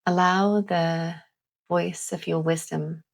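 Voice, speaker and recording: A woman leading a calming guided visualisation in a gentle, deliberate manner with long pauses. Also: lowish voice, creaky. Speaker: guided meditation host.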